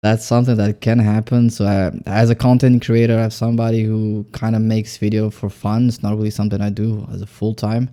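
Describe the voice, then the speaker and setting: A man's voice: on the low side, level tone, a little fast, few pauses, warm tone. A man who runs a small YouTube channel, hosting a casual interview and rambling with many fillers. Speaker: small YouTube creator, host.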